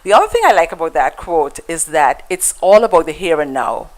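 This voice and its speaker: A woman's voice: deep voice, sing-song, brisk, a little mellow, rough recording. A woman with a Guyanese accent, a guest in a conversational interview, telling stories in a reflective way with frequent fillers and restarts.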